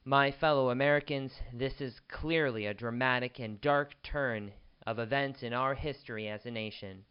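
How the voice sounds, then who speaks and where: A man playing a grave, formal government spokesman giving a measured emergency address in a radio drama. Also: medium pitch, smooth, highs cut off, close to an everyday voice. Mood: solemn, resolute. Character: solemn male government spokesman addressing a nation in crisis.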